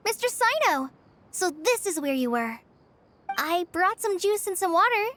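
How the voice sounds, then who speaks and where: A woman's voice: very high-pitched, sing-song, a little slow, fairly bright tone, full character voice. An actress playing an earnest, polite, caring young girl in a video game, with a sweet and eager manner. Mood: eager, friendly.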